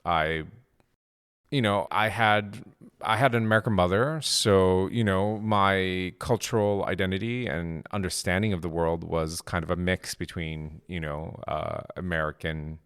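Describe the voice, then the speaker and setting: A man's voice: sits low, measured pace, fairly bright tone, smooth. A middle-aged man from Japan, a game studio co-founder, chatting in an interview with many fillers and restarts. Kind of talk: casual interview conversation.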